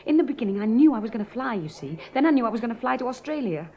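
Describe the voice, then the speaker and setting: An actress playing a determined young woman pilot in a radio drama, earnest and emotional, sometimes soft and hushed. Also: fairly high pitch, big pitch swings, rapid-fire, muffled recording. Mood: tender. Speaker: aviator. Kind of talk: 1970s radio drama acting.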